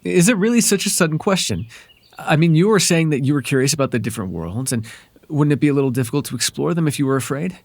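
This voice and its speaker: A man voicing a whimsical, softly possessive male fairy in an intimate, hesitant, conversational ASMR roleplay. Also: a little high, expressive, fast talker, no echo. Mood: gently curious.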